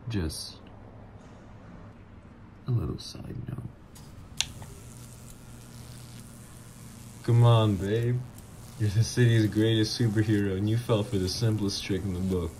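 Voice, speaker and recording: Man acting as a slow, deep, smug villain ex. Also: fairly even intonation, a little mellow, slight background noise, natural voice, low-quality recording. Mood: smug teasing. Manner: smug, drawn-out, intimate teasing. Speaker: voice actor. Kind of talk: flirty ASMR roleplay acting.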